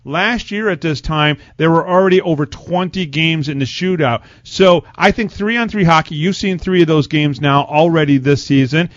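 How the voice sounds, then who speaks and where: A man's voice: on the high side, normal speed, hardly any pauses. A man hosting a sports talk show, interviewing a guest in a conversational way. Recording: muffled, roomy sound.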